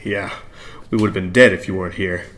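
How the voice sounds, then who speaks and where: A man playing a steady, generous party leader in a fantasy audio drama. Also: sits low, a little fast, slight background noise. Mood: easygoing.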